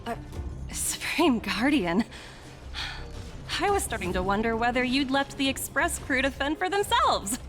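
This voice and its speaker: A woman's voice: high-pitched, lively intonation, a little slow, a bit bright, faint music behind. A woman playing a confident, shrewd young corporate negotiator in a video game, poised, polite and wry. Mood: wry, skeptical.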